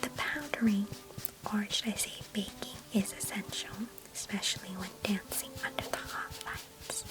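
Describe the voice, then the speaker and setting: This is a woman acting as a chatty, affectionate female cousin in an ASMR roleplay. She speaks entirely in a breathy whisper. Her delivery is gentle and unhurried, with a nostalgic, fond temper. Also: mid-range voice, expressive, natural voice, poor audio. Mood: wistful, chatty.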